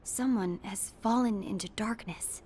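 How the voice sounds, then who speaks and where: A woman playing a grave, wary apprentice in a game cutscene, speaking earnestly and deliberately. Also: mid-range voice, a little mellow, slight background noise, natural voice. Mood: alarmed. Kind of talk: animated game cutscene acting.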